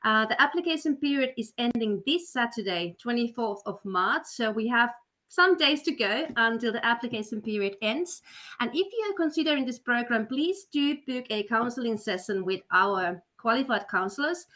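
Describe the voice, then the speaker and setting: A woman's voice: fairly high pitch, animated, normal speed, pushed and bright. Upbeat woman hosting a webinar. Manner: upbeat, persuasive, with many fillers. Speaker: webinar host, study counselor. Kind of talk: webinar presentation. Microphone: video call.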